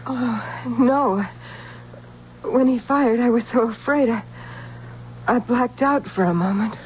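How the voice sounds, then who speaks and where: A woman's voice: fairly high pitch, takes it slowly. A woman playing a tender, innocent, lovestruck young humanoid with emotional, theatrical radio drama delivery. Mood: frightened, dazed. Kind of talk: old-time radio drama acting. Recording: dull, faintly hissy old radio recording.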